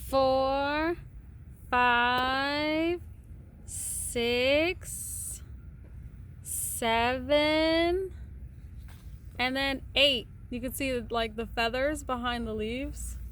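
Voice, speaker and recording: A woman reading a picture book aloud to a small child in a patient, sing-song way, pausing to count and point. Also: high-pitched, fairly bright tone, a little hiss or hum.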